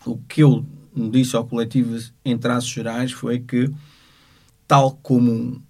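Halting Portuguese man, even low-keyed delivery. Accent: European Portuguese. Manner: halting, measured, searching for words. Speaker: lawyer. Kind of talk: unscripted spoken account of a court case.

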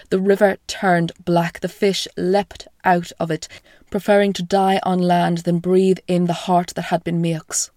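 A woman with a croaky voice from a chest infection, hosting a podcast and retelling an old myth in a slow, hushed, half-whispered way. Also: lowish voice, dry recording, clean studio sound. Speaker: podcast host and storyteller.

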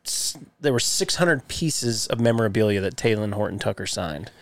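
A man's voice: fairly low pitch, animated, moderate pace, fairly bright tone, no echo. A man guesting on a podcast, laid-back and swearing now and then as he tells an anecdote. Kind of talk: casual banter and storytelling.

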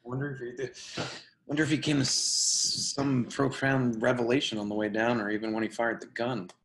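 A man's voice: medium pitch, flat delivery, a little fast, fairly bright tone, natural voice. A man playing a foul-mouthed, incredulous young housemate in a play reading, talking in emphatic slang. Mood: earnest, puzzled. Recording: roomy, echoing live reading.

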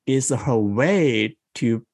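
A man in his forties from South China with a Chinese accent, a guest in an interview who tells stories in a slow, reflective way. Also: mid-range voice, lively intonation, gravelly, darkish tone, dry recording, studio quality. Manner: slow, thoughtful, reflective storytelling. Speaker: guest, improv coach. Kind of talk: interview conversation.

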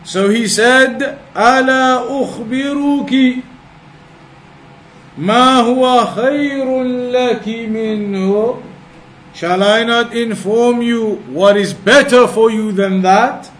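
High-voiced man teaching in an echoey room. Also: slow pace, a bit bright. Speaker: religious teacher giving a class. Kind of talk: religious lesson, reciting Arabic then translating and explaining. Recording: echoey room with clear background noise.

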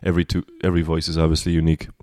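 This is a Cork Irish man with a deep baritone voice that sits very low. His delivery stays level, with little rise and fall. He sounds reflective and wanders through his thoughts in a casual podcast chat, recorded close with a dry sound. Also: monotone, very fast, a little mellow, no echo. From Cork, Ireland. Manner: reflective, rambling. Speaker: singer-songwriter guest.

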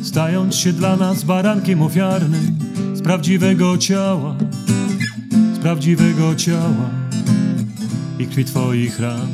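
A woman singing a slow, prayerful devotional song with acoustic guitar accompaniment. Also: sits low. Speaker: singer. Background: loud plucked acoustic guitar music.

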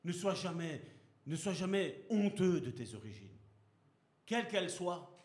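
A man preaches with fervor, his voice sitting high for a man and carrying through a roomy, echoing hall. He pastors a congregation and keeps pressing them with rhetorical questions. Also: sing-song, unhurried, darkish tone. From Belgium. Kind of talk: sermon. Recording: echoey hall.

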